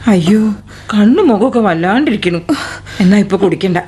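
A woman's voice: mid-range voice, animated, brisk, warm tone, slightly rough. An actress playing a concerned mother fussing over her daughter, in a radio drama. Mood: caring. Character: caring older mother of the household.

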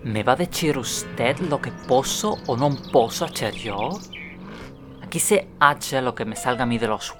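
An actor playing a callous, domineering Italian army officer with an Italian accent in an audio drama, commanding and contemptuous. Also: male voice, medium pitch, lightly acted.

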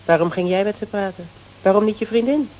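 A woman's voice: medium pitch, a little fast, darkish tone, lightly acted, rough recording. An actress in a radio play, playing a cool, tough, mocking female interrogator who fires curt, commanding questions. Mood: curt, probing. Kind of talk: Dutch radio play dialogue.

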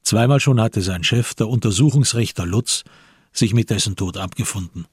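A man narrating a radio crime play in a husky voice, with measured, literary storytelling. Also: on the low side, no echo, studio quality. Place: dry radio studio.

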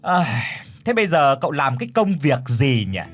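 A man's voice: sing-song, normal speed, soft background music. A man voicing a scornful middle-aged mother who mocks and scolds. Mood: prying. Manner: mocking, scolding, high and loud.

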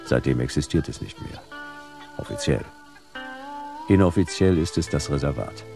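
An actor playing a hard-boiled detective narrating his case in a noir radio play, gravelly, in terse, clipped phrases. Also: normal speed. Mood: grim. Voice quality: very deep, gravelly. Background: music.

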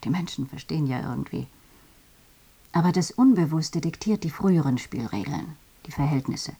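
A low, husky female voice as a reflective journalist in a radio drama. She recounts what she has witnessed in a measured, sober way. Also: animated, dark tone, full character voice. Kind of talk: radio drama documentary monologue.

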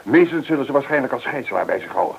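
Resourceful rescuer; creaky, lively intonation, old radio drama recording. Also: male voice, mid-range voice, racing, muffled recording, slight background noise. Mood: concerned, decisive. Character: resourceful man calmly planning a rescue. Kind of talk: old radio play acting.

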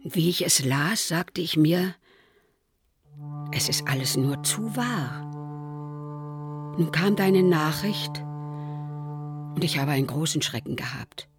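A woman's voice: sits low, lively intonation, takes it slowly, pauses often, full character voice. An older actress playing a worried, disapproving mother in a radio play, reading a letter in a grave, admonishing way, with music behind. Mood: grave concern. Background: instrumental music.

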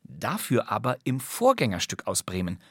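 A man's voice: fairly low pitch, huge pitch swings, normal speed, husky. A man hosting scripted, wry presentations of old radio crime plays in a playful, theatrical storytelling way. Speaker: podcast host.

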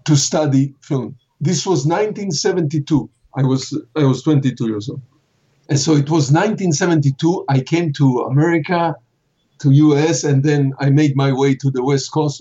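A man's voice: on the high side, warm tone. An older man from Poland, answering interview questions in a rambling way, repeating himself and chuckling often. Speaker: film director interviewee. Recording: muffled lo-fi interview recording.

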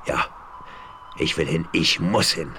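Very deep-voiced, vengeful frontier westerner. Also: male voice, takes it slowly, bright, forceful tone, slight background noise, full character voice. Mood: grimly determined. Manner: intense, emphatic, dramatic. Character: brooding, vengeful frontier westerner hunting his enemy. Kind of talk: German radio play acting.

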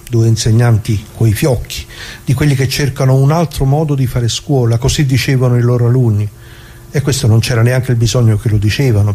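Mellow, rambling old working-class grandfather played by a man. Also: medium pitch, slight background noise, close to an everyday voice. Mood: admiring. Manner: folksy, rambling, full of asides and rhetorical questions. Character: talkative working-class grandfather reminiscing, indignant, wry, old leftist. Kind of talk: theatrical monologue for radio, colloquial storytelling.

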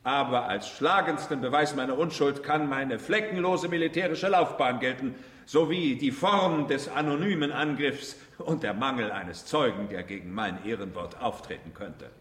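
An actor playing a proud military count under accusation, solemn and defensive, speaking formally and emphatically in a radio drama. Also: male voice, medium pitch, moderate pace, a bit bright, natural voice. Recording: echoey hall with long reverb.

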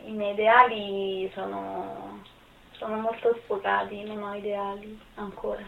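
A woman's voice: medium pitch, little pitch movement, measured pace, vocal fry, slight background noise. A woman in her early twenties giving short, informal interview answers. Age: 20s. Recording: thin, echoey phone-like sound.

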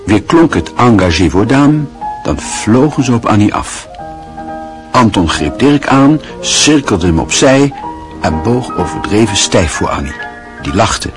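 A man narrating a radio play in a measured storytelling manner. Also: on the low side, big pitch swings, over music.

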